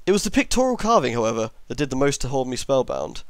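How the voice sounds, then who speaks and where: A man's voice: medium pitch, big pitch swings, quick pace, no room sound. Man reading a horror story, dry close sound. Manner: reads with stumbles over long words, self-correcting. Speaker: amateur story reader.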